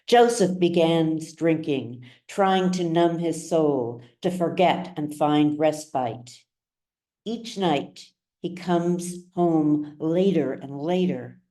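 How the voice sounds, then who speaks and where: A woman narrates an audiobook in a low, mellow voice. Her storytelling is measured and dramatic, and she takes her time over each phrase. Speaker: author reading their own novel.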